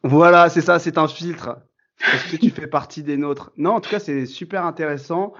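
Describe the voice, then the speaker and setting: A man hosting a podcast, asking casual, halting questions full of fillers and restarts. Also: a little high, fairly bright tone, lo-fi sound. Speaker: podcast host. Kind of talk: casual interview.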